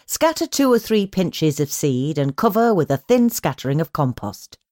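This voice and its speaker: A woman reading magazine articles aloud as a scripted narration, in a measured, instructional way with clear phrasing. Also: low-pitched, big pitch swings, darkish tone, dry recording, studio quality. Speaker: magazine audio edition narrator.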